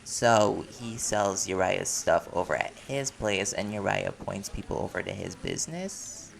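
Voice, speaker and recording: A man's voice: low voice, animated, measured pace, vocal fry, background noise, exaggerated voice. An actor playing a playful, caring man in a chatty, teasing audio roleplay conversation. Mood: casual, doubtful. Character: silly, playful, caring man at a community party.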